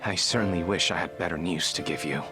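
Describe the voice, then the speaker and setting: A man acts as a middle-aged doctor in a video game drama, speaking in a low register. He is formal and courteous but hesitant, as if guarding something. Music plays behind him. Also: fast talker, natural voice, poor audio. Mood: regretful, polite. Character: evasive middle-aged male doctor, courteous, measured, cornered.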